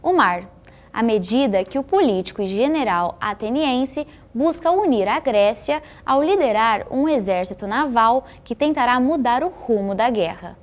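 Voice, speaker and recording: A woman with a Brazilian accent reads scripted documentary-style narration in a measured, informative way. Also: on the high side, lively intonation.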